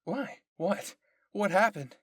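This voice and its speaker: A man voicing an excitable, nosy female military researcher in an anime fan story, gushing and emphatic. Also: high-pitched, slow pace, breathy edge, dry recording, pitched above the performer's own voice, full character voice. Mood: eager curiosity.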